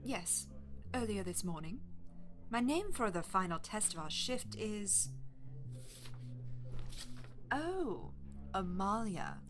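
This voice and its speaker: A woman's voice: mid-range voice, sing-song, slow pace, pauses often. An actress playing a breezy female lab assistant in an audio drama, talking in an offhand, conversational way. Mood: breezy, casual. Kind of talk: binaural audio drama acting.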